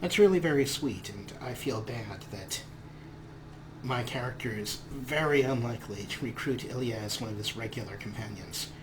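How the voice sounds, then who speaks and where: A man's voice: medium pitch, normal speed. A man hosting a let's play with casual, playful commentary, often talking to the game's characters. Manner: playful, talks to game characters. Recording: clear game ambience noise.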